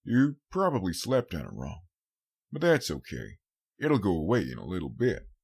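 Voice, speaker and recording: A man voicing a gentle, protective male pony, fatherly and caring, in an audiobook. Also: low-pitched, wildly swooping pitch, moderate pace, creaky, slightly rough, full character voice. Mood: friendly, caring. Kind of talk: audiobook character voice.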